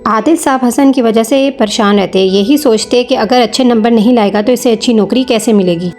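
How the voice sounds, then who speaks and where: A woman's voice: on the high side, brisk, a little mellow, faint music behind. A woman narrating a scripted moral story for children.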